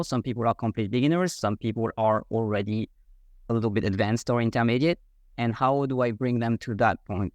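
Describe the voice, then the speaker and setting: A man from France, a native French speaker with a French accent, explains his teaching method as an interview guest in a measured, methodical way. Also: fairly low pitch, no echo, studio quality. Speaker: guest, French learning coach.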